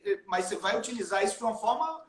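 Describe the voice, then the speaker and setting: A man's voice: high-pitched, lively intonation, rapid-fire. A Brazilian man hosting a music live stream, chatting casually and telling stories with plenty of slang. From Salvador, Brazil. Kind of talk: casual chat. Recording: roomy, echoey live stream sound.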